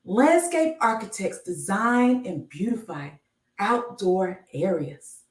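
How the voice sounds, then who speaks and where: A woman reading a children's picture book aloud on a live stream, enunciating deliberately like a teacher. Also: mid-range voice, lively intonation. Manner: slow, deliberate enunciation with teacherly announcements of each letter. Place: indoor room, live stream. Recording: roomy, echoey, distant microphone.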